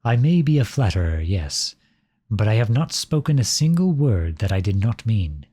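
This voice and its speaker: A man playing a flirtatious, silver-tongued royal at a masquerade ball in an ASMR roleplay, speaking in courtly, formal, flattering phrases with a husky voice. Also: fairly low pitch, normal speed, dry recording, studio quality. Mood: earnest, coaxing. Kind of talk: ASMR roleplay, scripted romantic monologue addressed to listener.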